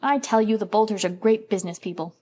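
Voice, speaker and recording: A woman voicing an excitable young farm boy who is eager and boastful. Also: medium pitch, quick pace, close to an everyday voice.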